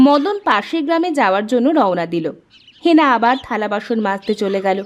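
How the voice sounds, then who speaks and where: A woman's voice: a little high, animated, a little fast. A woman reading scripted storybook narration for a cartoon tale.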